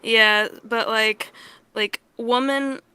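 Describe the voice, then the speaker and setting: A woman's voice: on the high side, steady tone, drawn-out words, bright, forceful tone. A woman chatting casually on a livestream in a blunt, playful, sweary way. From Australia. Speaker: co-host and audio roleplay creator.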